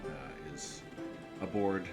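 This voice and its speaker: A man working as game master, narrating a tabletop game in a halting, conversational way. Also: rumbling low. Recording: quiet mic under guitar music.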